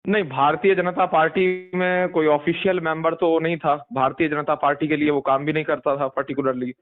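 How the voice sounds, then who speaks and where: A man answering as a political spokesperson in an interview, measured, repeating phrases and building slogan-like lists. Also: a little high, fairly even intonation, faint music behind. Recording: tinny recorded phone call.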